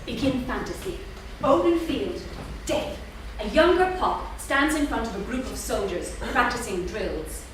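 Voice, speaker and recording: Woman reading out stage directions, fairly high voice, noisy recording from far away in a theatre. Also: lively intonation, measured pace, very echoey. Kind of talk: scripted narration.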